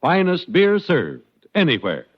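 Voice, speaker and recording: A man's voice: a little high, wildly swooping pitch, a little slow. An American man announcing a sponsor's message in 1940s radio style, emphatic and salesmanlike. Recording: muffled old radio, loud music.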